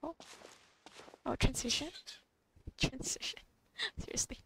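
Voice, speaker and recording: A woman's voice: high voice, very animated, slow pace, vocal fry, noisy background. A young woman giving casual gameplay commentary, informal and reactive, often in short interjections. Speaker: gaming streamer. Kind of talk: casual gameplay commentary, reading dialogue along. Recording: game music behind.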